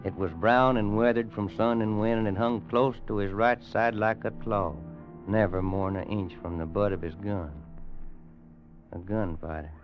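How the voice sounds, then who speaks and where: Guy doing a folksy old west rancher, lowish drawl, simple honest talk, dull old radio recording. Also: fairly even intonation, normal speed, faint music behind, close to an everyday voice. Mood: somber storytelling. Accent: American Western drawl. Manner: folksy, plain, hesitant at times. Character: plain-spoken, kindly rancher telling his tale. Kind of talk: old-time radio western narration and acting.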